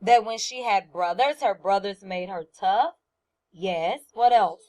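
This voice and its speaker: A woman teaching first graders through a read-aloud, speaking deliberately and with emphasis, often questioning the children. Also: medium pitch, warm tone.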